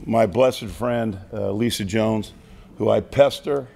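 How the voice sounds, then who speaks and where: An older man, a nonprofit head, speaking at a press conference in a folksy, joking way, his voice raspy and hoarse. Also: lowish voice, slow, a little mellow, slight background noise. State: hoarse from yelling the night before. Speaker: nonprofit CEO. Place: large indoor hall. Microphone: podium mic.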